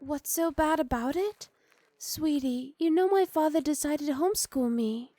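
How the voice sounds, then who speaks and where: A woman's voice: sits high, normal speed, mellow, no room sound, natural voice. A woman playing a doting, teasing young noblewoman wife in an intimate ASMR roleplay, sweet and coaxing. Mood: indignant pleading. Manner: sweet, coaxing, playfully scolding.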